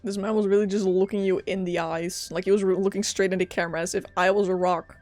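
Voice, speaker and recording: A woman's voice: mid-range voice, steady tone, fast, darkish tone, soft background music. A woman game streamer on a close mic, giving casual, joking, reactive commentary as she plays. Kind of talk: casual live gameplay commentary.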